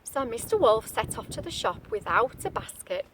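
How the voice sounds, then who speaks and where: A woman with a high, swooping voice reads a picture book to little children. She is expressive and keeps adding chatty asides and questions, like a teacher. There is faint noise behind her. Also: moderate pace. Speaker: storybook reader, teacher-like. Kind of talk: reading a picture book aloud to young children.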